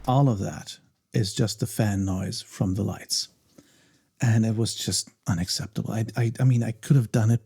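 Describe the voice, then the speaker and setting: Low, gravelly, soft-spoken man. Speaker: voice actor and ASMR creator. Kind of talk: soft-spoken behind-the-scenes commentary.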